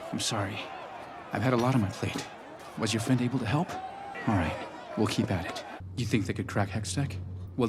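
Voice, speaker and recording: An actor playing an earnest, idealistic young inventor turned city councilor in an animated drama, intense and resolute. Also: male voice, mid-range voice, close to an everyday voice. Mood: troubled. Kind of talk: dramatic animated series dialogue. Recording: dialogue over clear background music. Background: music.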